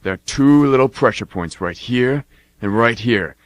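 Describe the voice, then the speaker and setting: An actor playing a menacing, drunken war veteran in a radio drama, taunting and swaggering in folksy slang. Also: male voice, mid-range voice, animated, moderate pace, no echo, natural voice. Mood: menacing, angry. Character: menacing, drunken brother, boastful war veteran turned mercenary. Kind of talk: amateur radio drama acting.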